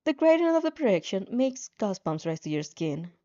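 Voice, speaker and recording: A woman's voice: medium pitch, big pitch swings, fairly quick, warm tone, highs cut off. A woman narrating fan fiction expressively, as an amateur storyteller.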